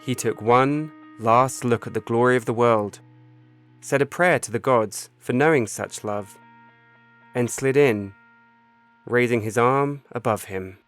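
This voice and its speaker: A man reading his own short story as a scripted literary narration, measured and solemn. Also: mid-range voice, fairly bright tone. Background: music bed.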